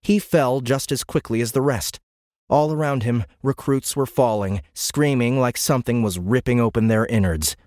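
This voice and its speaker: A man narrating a military science fiction audiobook in a measured, grave, dramatic way. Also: medium pitch, darkish tone, no echo, studio quality. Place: dry recording studio.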